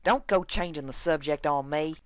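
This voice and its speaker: A woman plays a gruff cartoon farm mare with a drawl. She sounds stern, folksy and thoroughly exasperated, in a dry, close recording. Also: low-pitched, moderate pace, no echo, close to an everyday voice. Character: gruff, indignant female farm pony with country drawl. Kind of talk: cartoon fan fiction audio reading.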